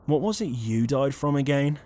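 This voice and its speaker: A man's voice: mid-range voice, lively intonation, normal speed, mellow, lightly acted. A man playing a weary, sarcastic young ghost who leads a haunting trio in an audio roleplay. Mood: exasperated, dry. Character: exasperated young ghost leader, reluctant protector, named Liam.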